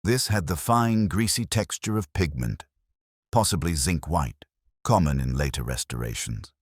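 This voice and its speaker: A man narrating a scripted detective mystery in the first person, in a measured, brooding, literary manner. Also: deep voice, animated, breathy edge, dry recording, clean studio sound. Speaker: narrator playing a melancholy detective.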